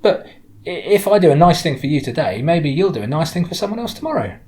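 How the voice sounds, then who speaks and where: A man's voice: a little high, sing-song, fast, pitched above the performer's own voice. A man voicing a cheerful, kindly Cornish woman, chatty and direct, in a storybook reading. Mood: breezy, curious. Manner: chatty, warm, direct, questioning.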